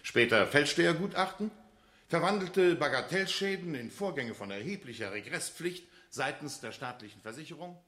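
A man's voice: a little high, lively intonation, normal speed, pushed and bright. A man playing a stern, formal judge in a radio drama, declaiming a verdict in official language. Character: stern formal male judge pronouncing sentence. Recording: roomy, echoing courtroom-like acoustic.

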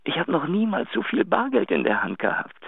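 An actor playing an elated, tender musician in love, excitable and sometimes murmuring, in a radio drama phone message. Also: male voice, high voice, a little fast, creaky, slightly rough, no echo, exaggerated voice. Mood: amused, excited. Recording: muffled lo-fi line, music behind.

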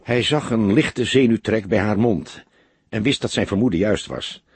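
A man's voice: lowish voice, normal speed, slightly rough, no echo. A man reading a detective novel as an audiobook, in dramatic scripted narration.

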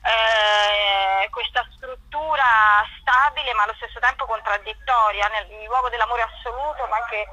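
A woman's voice: fairly high pitch, moderate pace, hardly any pauses, bright, forceful tone, dry recording, muffled recording. A woman being interviewed as a playwright, explaining spontaneously, searching for words and repeating them for emphasis.

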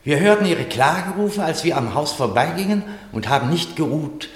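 A man in a radio play acting a gallant, indignant gentleman rescuer who speaks in florid, formal courtesies. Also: fairly high pitch, lively intonation, normal speed, lightly acted. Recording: echoey, reverberant radio play sound.

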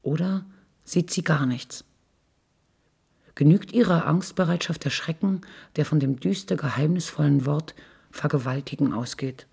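Very raspy, creaky woman narrator. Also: deep voice, unhurried, darkish tone. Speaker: narrator reading literary prose. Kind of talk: scripted literary narration with rhetorical questions.

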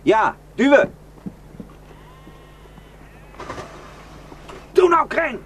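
A man's voice: extremely high pitch, big pitch swings, measured pace, a bit bright, heavily acted. An actor in a radio drama playing a weary, bitter, well-travelled man who grimly warns of atrocities. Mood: strained, exasperated. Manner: grim, cursing, rambling storyteller. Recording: noisy radio play recording.

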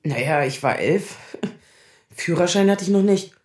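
A woman's voice: fairly low pitch, animated, measured pace, a little mellow. In a roomy, echoing space, a woman in her thirties with a sardonic, defensive edge. She confides like a patient in therapy, in the natural style of a radio drama. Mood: wry, confessional. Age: 30s. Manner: sardonic, confessional.

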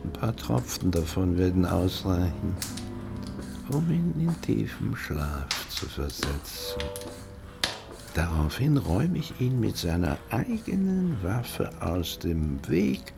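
A man's voice: low-pitched, sing-song, slow, vocal fry, full character voice. An actor playing a sly, murderous dwarf in a fantasy radio play, muttering his plans conspiratorially. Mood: scheming. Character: sly, murderous male dwarf plotting for gold. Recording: studio radio play with music underneath.

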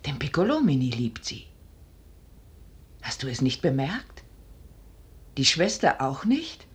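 An actress playing a shrewd, anxious noblewoman in a classical verse radio drama, with a breathy, husky voice. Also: very deep voice, big pitch swings, slow pace, full character voice. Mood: knowing, measured.

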